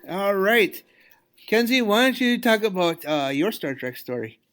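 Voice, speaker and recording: A man with an American accent hosting a podcast, chatting casually in a halting, conversational way. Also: sits high, big pitch swings, a bit bright, faint music behind. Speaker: podcast host.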